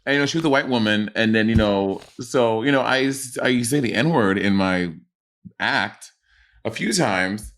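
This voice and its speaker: A man's voice: on the low side, moderate pace, a bit bright. Rambling, swearing podcast co-host man. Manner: loose, profane, rambling, loses his train of thought. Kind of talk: casual chat.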